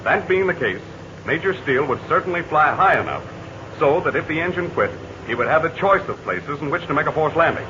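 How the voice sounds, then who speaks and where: An actor playing a confident, commanding heroic aviator in a 1940s radio serial. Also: male voice, a little high, fairly quick, background noise, natural voice. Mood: explaining. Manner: steady, commanding, reassuring. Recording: dull lo-fi 1940 radio recording.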